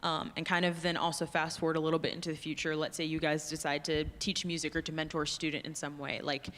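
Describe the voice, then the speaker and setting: A woman's voice: low voice, level tone, quick pace, fairly bright tone, a little hiss or hum. A woman moderating a live panel, chatty and casual, who thinks aloud as she phrases her questions. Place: large hall. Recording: long hall reverb from a live panel.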